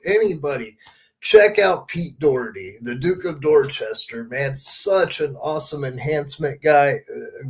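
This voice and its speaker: Man, podcast host, fairly high voice, informal, emphatic storyteller, casual swearing. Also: low-quality recording. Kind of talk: casual chat, anecdotes.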